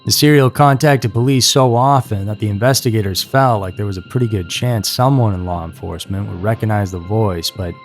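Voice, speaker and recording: A man's voice: fairly low pitch, fairly quick, hardly any pauses. A man reading scripted true-crime narration as a narrator. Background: music.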